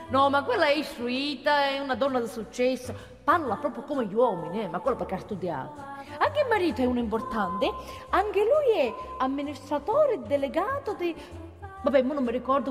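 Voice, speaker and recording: An actress playing a chatty, folksy working-class gossip in a theatrical radio monologue. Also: female voice, high voice, sing-song, normal speed, music in the background. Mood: gossipy. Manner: colloquial, with tag questions and exclamations. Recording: long hall reverb of a stage.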